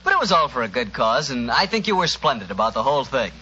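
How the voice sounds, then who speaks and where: Old radio announcer guy, american, bright and salesy, slightly dull recording. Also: medium pitch, very animated, quick pace, slight background noise. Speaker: announcer and sponsor host. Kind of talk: 1940s radio announcing, polished sales pitch.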